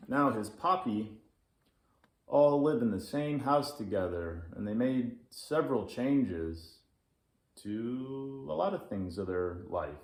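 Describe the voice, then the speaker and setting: A man's voice: medium pitch, lively intonation, slow. A man reading and presenting a children's story as its storyteller. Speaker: storyteller and author. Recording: echoey room.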